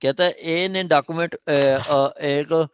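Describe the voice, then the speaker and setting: A man with a Pakistani accent explaining law to a class informally and haltingly, often checking that they follow. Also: on the high side, fairly even intonation, a little hiss or hum. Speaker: law lecturer. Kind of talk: informal classroom legal explanation with question and answer.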